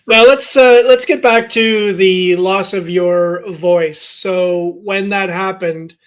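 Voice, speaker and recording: Man, podcast host, high-pitched, rambling questions, filler words. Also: steady tone, takes it slowly, clear, resonant tone. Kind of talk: interview questions.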